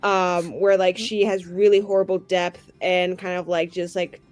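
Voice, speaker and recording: A woman in expressive, slangy casual chat about a tabletop game, acting out bits of dialogue as she retells them. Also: fairly low pitch, moderate pace. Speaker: tabletop roleplaying game player. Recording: music playing underneath.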